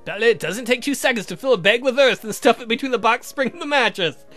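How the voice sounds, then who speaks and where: A man's voice: very high-pitched, fast talker, bright, forceful tone, soft background music. A man as a chatty, dismissive old uncle. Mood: reasoning.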